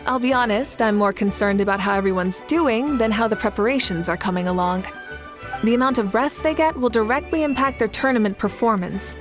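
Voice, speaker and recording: A woman's voice: on the high side, a little fast, natural voice. A woman voicing a confident, playful female leader who speaks with teasing, easy authority. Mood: calm. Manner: self-assured, teasing, warm authority. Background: game music.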